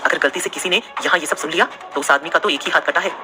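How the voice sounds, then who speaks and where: A woman voicing a calm, teasing young man in a lowered voice. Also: fast talker, music in the background, close to an everyday voice. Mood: scolding. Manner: low, mocking, easygoing.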